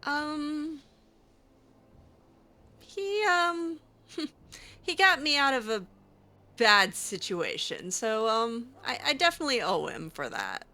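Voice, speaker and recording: A woman's voice: high-pitched, very animated, slow, pauses often, pushed and bright, heavily acted. A woman voicing a skeptical, curious young mage in improvised game roleplay, hesitant and questioning with sardonic asides. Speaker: roleplay gamer.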